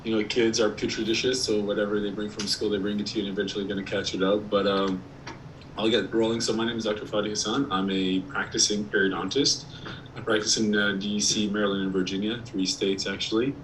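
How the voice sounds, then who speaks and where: A man from Iraq, a periodontist giving a casual presentation over a video call, slightly hoarse and talking with many ums and restarts. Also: on the low side, flat delivery, fairly quick, a bit bright. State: slightly hoarse, clearing throat. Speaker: periodontist guest speaker. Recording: distant mic with faint background noise.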